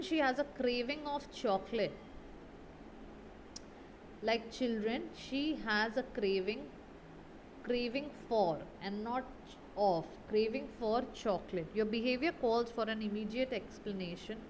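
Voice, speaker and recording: Casting: female grammar tutor, mid-pitched, didactic, walks through answers and checks understanding, some background noise. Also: rough recording. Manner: didactic, often ends points with a checking tag question. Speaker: English grammar teacher. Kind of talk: explaining grammar exercise answers.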